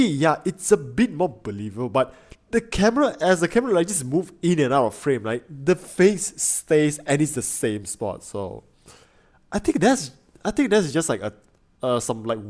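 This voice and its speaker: A man chatting casually as he reacts on stream. His pitch swings widely as he thinks aloud, full of fillers and restarts. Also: big pitch swings, moderate pace, darkish tone. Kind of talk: casual chat.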